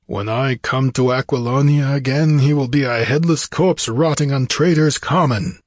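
A man voicing a fierce, gruff barbarian warrior king in a fantasy audiobook, growling and forceful. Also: medium pitch, moderate pace, dry recording, studio quality, pitched above the performer's own voice, close to an everyday voice. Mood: angry, vengeful.

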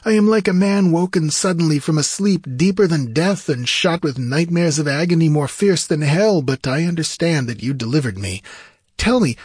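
A man's voice: fairly high pitch, breathy edge, no echo, clean studio sound, voice pushed up. A man voicing an aristocratic, wry sorcerer in a fantasy audiobook, with courtly, archaic and dramatic phrasing. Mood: courteous, curious. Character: tall aristocratic sorcerer freed from long torment, eloquent, wry.

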